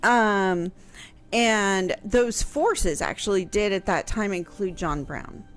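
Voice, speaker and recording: A woman's voice: medium pitch, takes it slowly, soft background music. A woman explaining history in casual conversation on a radio show, piecing her sentences together hesitantly. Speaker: history show host answering questions.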